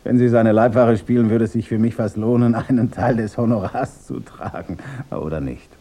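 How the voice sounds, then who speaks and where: An actor playing a smooth, mocking crime boss in a German radio drama, politely threatening. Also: male voice, on the low side, normal speed, dark tone. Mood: coolly amused. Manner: polite, veiled threats, ironic.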